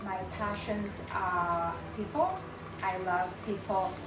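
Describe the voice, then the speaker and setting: A woman from Kenya, a nurse, speaks slowly and in an unhurried way, dropping in ums as she introduces herself. Her voice sounds distant and echoey, and loud music runs underneath. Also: medium pitch, steady tone. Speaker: nurse, interview guest. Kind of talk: casual interview answers.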